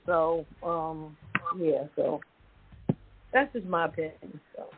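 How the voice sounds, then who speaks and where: A woman's voice: sits low, slow pace, slight background noise. A woman panelist giving opinions in casual, loosely phrased talk show chat. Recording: muffled, band-limited audio.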